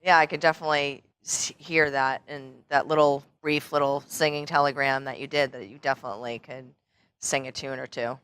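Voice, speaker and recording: Low-voiced woman hosting a friendly chat. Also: fairly even intonation, a little fast, a bit bright, clear, resonant tone, dry recording. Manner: encouraging, frequent agreeing interjections. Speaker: interview host, salon owner. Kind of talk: casual interview chat.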